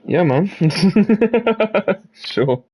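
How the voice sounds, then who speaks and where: A man's voice: sits high, animated, drawn-out words, husky, muffled recording. A man chatting casually on a live stream, muttering to himself as he works and swearing now and then.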